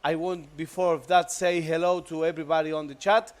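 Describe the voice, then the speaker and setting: A man from Argentina with an Argentine Spanish accent, co-commentating live esports in halting, enthusiastic English. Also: fairly high pitch, fairly even intonation.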